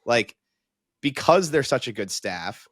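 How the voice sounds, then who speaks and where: A man's voice: medium pitch, animated, slow pace, a bit bright, dry recording, studio quality. A man talking through sports analysis in a conversational, casual way, thinking aloud as a panel analyst.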